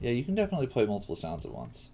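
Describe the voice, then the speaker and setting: A man thinks out loud as he codes live as a teacher. His pitch swings widely at a middling register, and he mutters questions to himself. Typing, clicks and a faint noise sit behind the voice. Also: huge pitch swings, very fast, creaky. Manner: muttering to himself while troubleshooting, self-questioning. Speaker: coding teacher. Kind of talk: live coding stream, thinking aloud.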